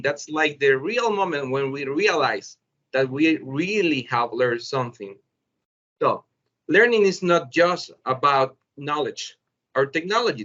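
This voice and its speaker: Male educator presenting online, Salvadoran Spanish accent, bright forceful higher voice, searching for words, lo-fi call audio. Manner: halting, searching for words in a second language. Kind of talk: informal webinar presentation. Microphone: video call. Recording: dull, lo-fi video call audio.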